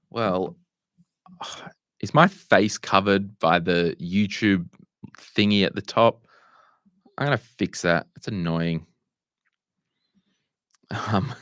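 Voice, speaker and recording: A middle-aged Australian man with a croaky voice, hosting a casual Q&A and answering viewers' money questions with asides and restarts. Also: deep voice, a bit bright, no echo, studio quality. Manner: conversational, restarts and asides while fixing tech. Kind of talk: casual livestream Q&A.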